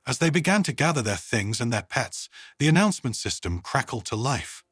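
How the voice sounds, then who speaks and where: A man's voice: medium pitch, big pitch swings, fairly quick, a bit bright, slightly rough, no echo, studio quality. A man reading a scripted story as its narrator.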